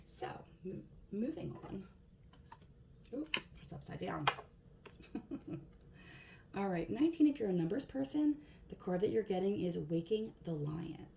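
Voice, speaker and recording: A woman with a hoarse voice giving a casual tarot card reading in a relaxed, chatty way, with plenty of fillers. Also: mid-range voice, unhurried, long pauses. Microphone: phone. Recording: echoey room, faint background hiss.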